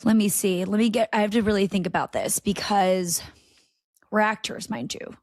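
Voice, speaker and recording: A woman in her thirties, a guest in a casual interview, telling stories in an animated way and acting out quotes. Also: mid-range voice, moderate pace, dry recording, clean studio sound. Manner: animated storytelling with frequent laughter and acted-out quotes.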